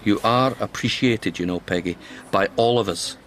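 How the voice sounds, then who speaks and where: An actor playing a kindly senior Scottish doctor who narrates a radio drama, measured and avuncular. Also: male voice, on the low side, fairly bright tone, slight background noise, natural voice. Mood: warm, avuncular.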